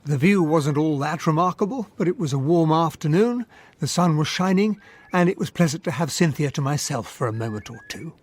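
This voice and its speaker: Radio drama lead: genial English officer, courteous, English accent, animated pitch movement. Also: male voice, fairly quick, no echo. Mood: reflective narration. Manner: polite, well-spoken. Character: genial, gentlemanly English army captain narrating his memories. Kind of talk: radio drama acting and first-person narration.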